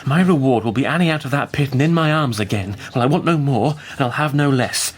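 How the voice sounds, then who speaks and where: A man's voice: mid-range voice, brisk, close to an everyday voice. An actor playing a blunt, desperate father fighting to get his daughter back, in a radio drama. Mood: urgent, stubborn. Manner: urgent, blunt, emotional. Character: anxious father searching for his daughter, gruff and stubborn.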